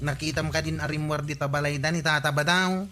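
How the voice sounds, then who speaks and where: A man's voice: fairly high pitch, steady tone, fairly quick, music in the background, close to an everyday voice. A man playing a cheeky village councilman who wheedles for money in an Ilocano comedy radio drama. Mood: prying.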